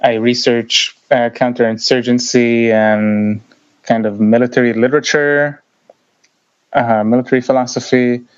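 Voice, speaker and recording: A man who grew up in Israel, a writer answering interview questions in a measured, thoughtful way with hesitant pauses. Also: mid-range voice, steady tone, smooth, dull audio. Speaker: guest, writer and YouTuber.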